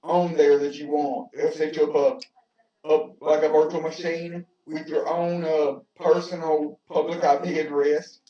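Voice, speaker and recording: A man explaining web hosting in casual chat on a video call, halting and repeating words as he goes. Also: on the high side, level tone. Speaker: tech-savvy participant on a video call. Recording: echoey, distant, dull call audio.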